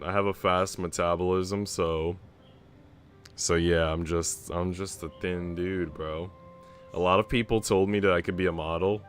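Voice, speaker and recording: A young man streaming a visual novel in a laid-back, slangy way, switching between casual chat and reading aloud. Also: low-pitched. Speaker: game streamer. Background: music.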